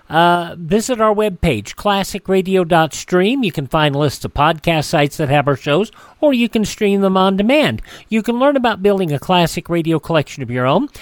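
A man hosting a classic radio show, presenting in a relaxed way with some scripted notes. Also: high-pitched, no room sound.

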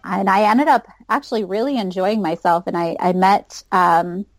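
A woman's voice: mid-range voice, moderate pace, no room sound. An American woman in her thirties giving casual answers as an interview guest. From United States, north of Chicago. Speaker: guest, psychology professor.

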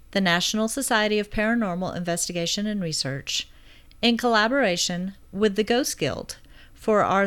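A woman's voice: medium pitch, fairly bright tone. A woman hosting a podcast, reading an upbeat intro and asking friendly interview questions. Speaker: podcast host.

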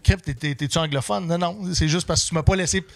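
A man talks as a podcast guest, his voice sitting a little higher than most men's. He stumbles and repeats words while he hunts for the next thought. The sound is dry and close, with no room echo. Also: vocal fry, fairly bright tone. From Canada. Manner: halting, repeats words when searching. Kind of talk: casual podcast interview.

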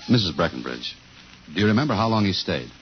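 A man's voice: sits low, very animated, quick pace, slight background noise. An actor playing a dogged, hard-boiled male investigator in 1950s radio noir, terse and insistent. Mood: terse, probing. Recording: dull old radio broadcast.

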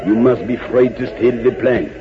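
A man's voice: mid-range voice, fairly even intonation, moderate pace, close to an everyday voice. An actor playing a big, loyal, stubborn Frenchman with a French accent, earnest and insistent, in 1940s radio style. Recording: muffled, noisy 1940s radio recording.

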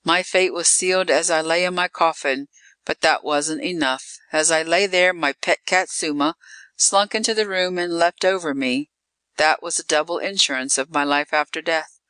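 A narrator voicing a calm, worldly female vampire who speaks with dry, possessive condescension. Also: medium pitch, normal speed, clean tone, no room sound, lightly acted. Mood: coolly resigned.

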